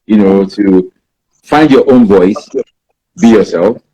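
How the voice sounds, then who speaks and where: A Nigerian man in his fifties, a native Igbo speaker, answers interview questions about writing in a rambling way, filling pauses with "you know". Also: fairly low pitch, warm tone, poor audio. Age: 50s. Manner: rambling, many "you know" fillers and restarts. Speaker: writer being interviewed.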